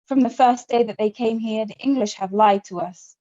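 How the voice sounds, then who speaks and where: A defiant male rebel orator rallies a crowd with a fiery, rousing speech, as a woman reads his lines aloud. The tone is mellow and warm. The audio is dull and lo-fi. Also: on the high side, moderate pace, close to an everyday voice.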